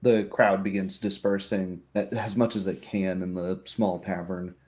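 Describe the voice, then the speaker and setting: A man's voice: low voice, level tone, unhurried, a little mellow. A man narrating a tabletop roleplaying game as the game master.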